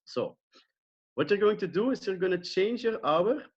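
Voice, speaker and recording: A man's voice: high-pitched, animated, normal speed, slightly rough, muffled recording. A man from Belgium with a Belgian accent, whose first language is Dutch, giving a live online trading lesson in an upbeat way that checks in with his listeners often. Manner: upbeat, repeats phrases and checks in with "okay?". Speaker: trading educator.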